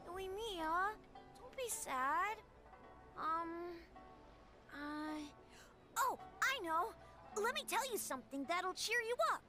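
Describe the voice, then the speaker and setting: A boastful young boy who rambles on enthusiastically in a very high voice. Soft game music runs underneath. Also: animated, takes it slowly, pauses often, heavily acted. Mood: cheerful. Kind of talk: game cutscene voice acting.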